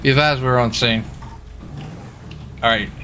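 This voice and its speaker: A man in casual, halting game role-play chat, playing a US marshal as he streams. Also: medium pitch, poor audio. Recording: steady background noise, game vehicle sounds. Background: game vehicle and ambient sounds.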